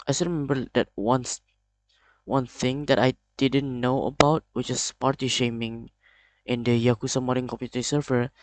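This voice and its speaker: A young man with an Indonesian accent, speaking English as a second language in a hesitant, halting confessional vlog full of restarts. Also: medium pitch, fairly even intonation, no echo. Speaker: video creator.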